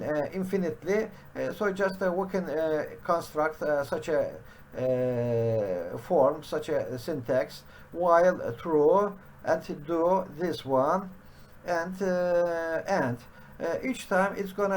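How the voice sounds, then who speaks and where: Brief: middle-aged man, very slow tutorial delivery, slightly high voice, constant fillers. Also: a little hiss or hum. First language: Turkish. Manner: halting, many "uh" fillers. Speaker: programming teacher. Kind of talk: screen-share coding tutorial. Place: home study with bookshelves.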